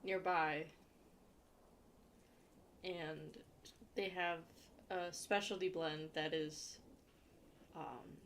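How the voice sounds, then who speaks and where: A woman's voice: fairly low pitch, fairly bright tone, a little hiss or hum. A young woman from Ohio with an Ohio accent, chatting casually on a stream and answering viewer questions aloud.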